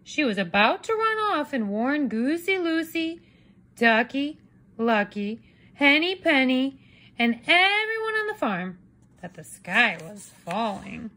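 Slow, high-voiced woman reading a storybook. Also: very animated, sharp tone. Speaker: teacher reading aloud to children. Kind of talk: expressive storybook narration.

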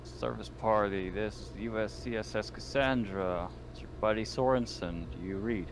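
A man with a fairly low voice plays a tabletop roleplaying game. He narrates his character casually and speaks as him, with clear noise behind him on an online call. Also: normal speed. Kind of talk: in-character play and casual chat.

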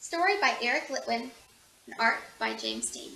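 A young woman reads a children's storybook aloud in an expressive, exaggerated, singsong way, recorded in a room at home. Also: fairly high pitch, moderate pace, gravelly, recorded from a distance, slight background noise. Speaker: storybook reader. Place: small living room at home. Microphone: camera a few feet away. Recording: echoey room.

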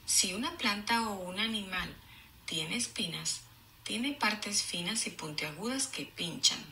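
A woman reads a children's lesson aloud with a sharp, very bright voice. She goes slowly and explains each idea deliberately, as a teacher does. A faint noise sits behind her. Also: medium pitch, expressive. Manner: deliberate, explanatory, enunciating for young learners.

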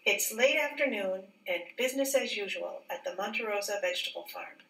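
A woman teacher reading a picture book aloud in a careful, expressive storybook manner. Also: mid-range voice, a little fast, slight background noise. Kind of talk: read-aloud storybook narration. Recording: roomy echo, distant mic.

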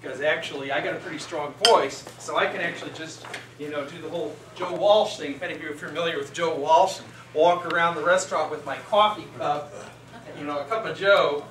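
Rambling man with a bright voice in a restaurant. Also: on the high side, fairly even intonation, a little fast, distant mic, a little hiss or hum. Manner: rambling, chatty, many fillers and asides. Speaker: meeting moderator. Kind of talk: off-the-cuff rebuttal speech. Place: restaurant meeting room. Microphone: handheld mic set down, voice carrying from a distance. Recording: echoey restaurant room, picked up from afar.

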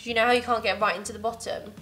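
A woman's voice: on the high side, steady tone, very fast, bright, forceful tone. A young British woman hosting a casual kitchen gadget test, chatting and reading product blurbs aloud. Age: young adult. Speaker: host. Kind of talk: casual product-testing chat. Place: indoor home studio set at a table.

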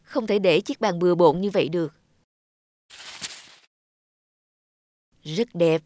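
A woman voicing a humble, earnest former beggar man in a fairy-tale cartoon, speaking in a measured, polite way. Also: fairly low pitch, dark tone, lightly acted. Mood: reflective.

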